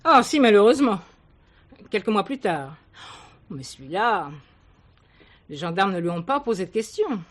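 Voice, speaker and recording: An actress playing a talkative, kindly village shopkeeper who adores cats and gossips in a confiding way in a television play. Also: female voice, medium pitch, very animated, normal speed, pauses often. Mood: guarded, insinuating. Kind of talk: 1970s French television play dialogue.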